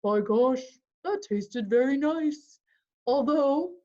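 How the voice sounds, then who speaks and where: A woman voicing a huge, grumpy, man-eating giant from a storybook, in a slow, booming chant. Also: animated, mellow. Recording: dull lo-fi call audio.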